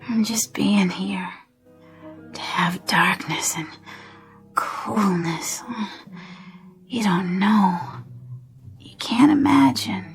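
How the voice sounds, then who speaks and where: An actress playing a weak, dreamy woman waking from a feverish nightmare in a radio drama, halting and breathy, with relieved wonder. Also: mid-range voice. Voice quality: breathy, whispery. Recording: music under the voice.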